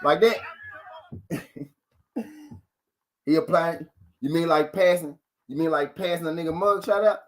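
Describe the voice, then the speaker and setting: A man's voice: on the high side, steady tone, a little slow, long pauses. A man hosting a live boxing talk show, arguing his point with rhetorical questions, repeating himself and greeting viewers from the chat.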